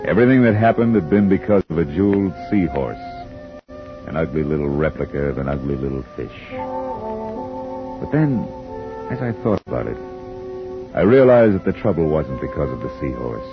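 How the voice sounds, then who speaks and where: A world-weary private eye from 1950s radio noir. The voice is deep and very animated in pitch, wry and hard-boiled. Music plays on a muffled old recording. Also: male voice, normal speed, long pauses, heavily acted. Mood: weary, brooding.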